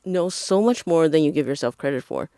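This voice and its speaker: Lively Taiwanese-raised woman teacher. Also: low-pitched, a little fast, no echo, studio quality. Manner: animated, acts out quoted voices. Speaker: English teacher, guest. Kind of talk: casual podcast conversation.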